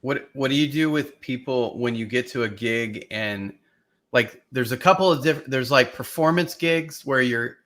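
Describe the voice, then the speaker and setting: A man's voice: mid-range voice, normal speed, fairly bright tone. A man co-hosting a podcast, asking long rambling questions with many restarts. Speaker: podcast co-host. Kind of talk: casual podcast interview.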